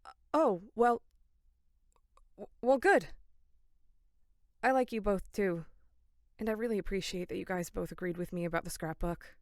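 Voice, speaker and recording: A woman's voice: mid-range voice, normal speed, long pauses, dry recording, clean studio sound, close to an everyday voice. A woman playing a shy, earnest, bookish young female counselor in an audio drama, hesitant and sincere. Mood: flustered, grateful. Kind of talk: horror audio drama acting.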